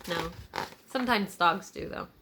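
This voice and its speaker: A woman teacher reading a picture book aloud to young children, deliberate and child-directed, pausing to explain words and ask simple questions. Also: lowish voice, noisy background.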